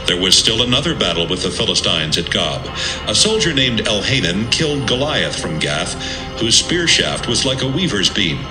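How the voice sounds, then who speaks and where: A man narrating an audio Bible from a script in a measured, serious manner. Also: fairly low pitch. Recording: roomy sound with music underneath.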